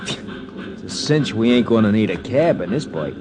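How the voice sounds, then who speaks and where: An actor playing a wisecracking, tough-talking city sidekick in a grumbling, slangy 1940s radio style. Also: male voice, lowish voice, big pitch swings, moderate pace, crowd noise. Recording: noisy old radio recording with clicks.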